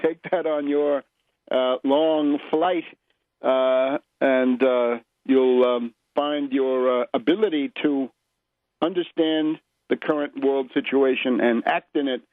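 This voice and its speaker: A man's voice: medium pitch, fairly even intonation, slow, no echo. Guy hosting political talk radio, opinionated, punches his phrases, dry close mic, bit muffled audio. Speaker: radio show host and political commentator. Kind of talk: unscripted political radio commentary.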